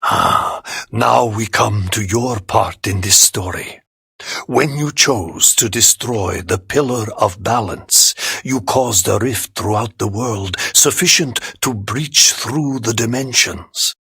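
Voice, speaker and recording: An actor playing an ancient, grave and wise otherworldly sage in a dramatic video game, speaking formally and portentously. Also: male voice, fairly low pitch, takes it slowly, gravelly, pushed and bright, slightly rough, dry recording, full character voice. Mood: solemn, explanatory.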